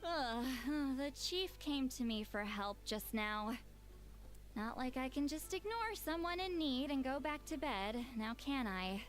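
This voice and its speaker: A grumpy, sleepy elder. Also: female voice, high-pitched, animated, a little slow, soft background music. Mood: grumbling. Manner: grumbling, sighing, self-mocking. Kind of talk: game cutscene dialogue.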